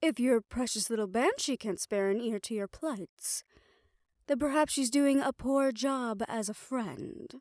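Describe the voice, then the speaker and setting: Woman acting a mellow, softly teasing reformed villainess doctor. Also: fairly high pitch, a little slow, dry recording, clean studio sound, lightly acted. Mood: concerned, probing. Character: reformed obsessive female doctor, teasing, probing, quietly caring. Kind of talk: fantasy audio roleplay acting.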